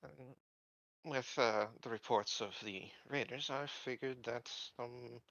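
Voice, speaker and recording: Formal male security recruit, played by a man at a game table: bright, close and dry, deferential, measured delivery. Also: mid-range voice, no room sound, lo-fi sound. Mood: polite, businesslike. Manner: measured, formal phrasing with hesitations. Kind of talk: improvised in-character roleplay.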